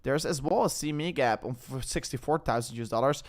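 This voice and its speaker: A young Dutch-accented man chats casually through a live crypto stream. His voice sits at an everyday middle pitch, and he rambles loosely, trailing off, restarting phrases and filling gaps with "uh" and "I mean." It sounds like relaxed, unscripted talk to a live audience. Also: fast. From Netherlands. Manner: rambling, self-interrupting, hesitant with fillers. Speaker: crypto livestream host.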